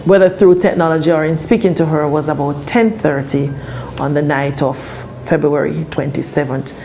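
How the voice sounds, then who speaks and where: A woman's voice: sits low, steady tone, moderate pace, warm tone, slight background noise. A woman with a Jamaican accent speaking as a police spokesperson, explaining things formally in an interview. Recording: faint music behind the voice.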